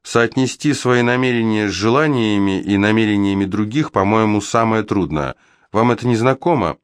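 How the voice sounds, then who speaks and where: A man's voice: lowish voice, moderate pace, clear, resonant tone, dry recording, clean studio sound, natural voice. A man voicing a self-assured, teasing young woman cellist in an audiobook, with frank delivery. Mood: frank, open.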